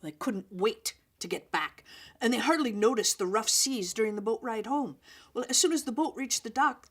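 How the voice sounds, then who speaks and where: A woman storyteller reading a children's story aloud in an expressive, deliberate way. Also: medium pitch.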